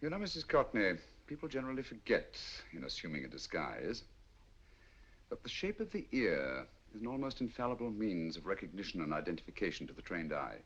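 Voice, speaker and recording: A shrewd, courteous detective. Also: male voice, low voice, big pitch swings, a bit bright, husky, exaggerated voice. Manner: measured, courteous, dryly confident. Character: shrewd, calm male detective, middle-aged. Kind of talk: 1940s radio acting. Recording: dull old radio audio.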